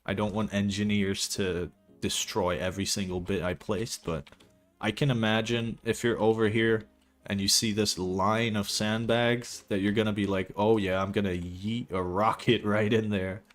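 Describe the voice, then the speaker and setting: Young belgian guy, lowish voice, rambling streamer chat, casual swearing, faint music behind. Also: fairly even intonation, moderate pace. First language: not English. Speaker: streamer building a game map. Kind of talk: casual livestream commentary.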